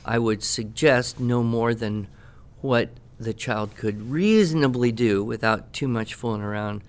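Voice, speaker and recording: A man giving conversational expert advice on a podcast, explaining in measured phrases with pauses mid-sentence. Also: mid-range voice. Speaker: education expert answering questions.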